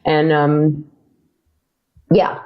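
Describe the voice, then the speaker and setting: A woman's voice: low voice, completely flat delivery, extremely slow, phone-quality sound. A woman guest on a podcast talking casually about making her audio drama, hesitant with many fillers and restarts. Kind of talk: casual interview chat.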